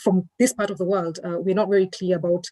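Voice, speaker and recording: A woman moderates a panel in a lowish, warm, mellow voice. She words her questions politely, with small hesitations and fillers. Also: steady tone, quick pace.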